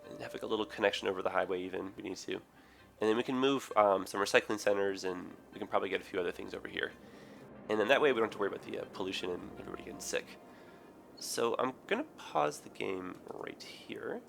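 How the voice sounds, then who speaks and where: A man with a raspy, hoarse, tired voice, rambling through casual game commentary with many ums and self-corrections. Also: fairly low pitch, quick pace, fairly bright tone. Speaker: gaming video host. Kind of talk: casual let's play commentary.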